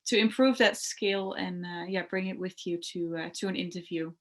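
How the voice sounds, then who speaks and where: A woman's voice: on the low side, steady tone, moderate pace, faint music behind. A young woman whose first language is Dutch, answering interview questions about her job in a hesitant way, with frequent fillers and restarts. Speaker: translation rights executive.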